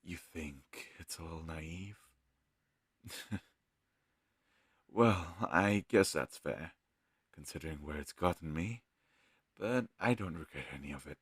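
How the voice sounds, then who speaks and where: Guy acting as earnest young healer in a fantasy audio roleplay, deep n a bit husky, soft hearted, sincere, very dry sound. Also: takes it slowly, pauses often, dry recording, full character voice. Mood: sincere, apologetic. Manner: gentle, hesitant, sincere. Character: earnest, kind young male fantasy healer, self-doubting.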